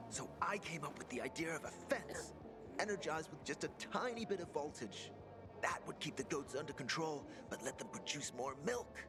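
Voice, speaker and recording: A man's voice: mid-range voice, natural voice. A man voicing a jittery young apprentice inventor. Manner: nervous, rambling, swings between gloom and excitement. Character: anxious young male apprentice inventor, self-doubting. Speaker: apprentice craftsman. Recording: game soundtrack music behind.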